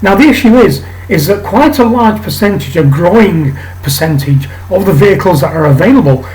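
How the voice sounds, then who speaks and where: A man from England with a raspy voice today, hosting a rambling casual monologue to camera with many ums and restarts. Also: sits high, lively intonation, normal speed, a little mellow, poor audio. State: raspy voice, coughing. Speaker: channel host. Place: home flat.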